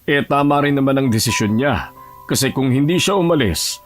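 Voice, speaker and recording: A man playing a gentle old grandfather, mid-pitched. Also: lively intonation, fairly quick, faint music behind, lightly acted. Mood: regretful, reflective. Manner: plain-spoken, fatherly. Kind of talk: Tagalog audio drama acting.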